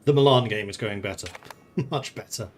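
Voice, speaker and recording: A man's voice: fairly low pitch, normal speed, slight background noise. A man from the Netherlands who grew up in England, streaming a strategy game and thinking aloud in casual commentary, chatting with viewers and laughing often.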